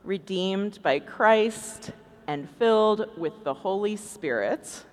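Lively, unhurried woman in a reverberant hall. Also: medium pitch. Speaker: podcast co-host. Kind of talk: scripted live stage show banter. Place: large convention hall stage. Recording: echoey hall, music behind.

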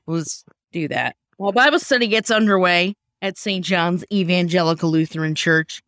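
Animated giggly woman host. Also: mid-range voice, dry recording, clean studio sound. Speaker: podcast host and sketch writer. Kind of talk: casual chat.

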